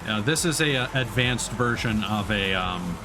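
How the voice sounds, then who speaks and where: Low-voiced man streaming a game over music. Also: animated, normal speed. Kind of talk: casual live gameplay commentary. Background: game music and vehicle sounds.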